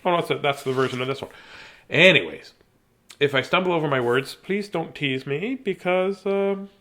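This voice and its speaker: Playful, higher-pitched man reading bedtime stories. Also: moderate pace, fairly bright tone. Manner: playful, silly, rambling, self-deprecating. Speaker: children's story reader, host. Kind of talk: casual livestream chat and bedtime story reading.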